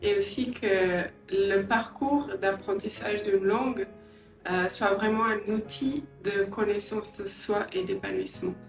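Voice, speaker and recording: A middle-aged woman from Angola and England giving a personal interview, reflective and hesitant, often pausing mid-sentence. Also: medium pitch, steady tone. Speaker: interviewee, entrepreneur and language teacher. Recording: roomy echo, distant mic, faint music.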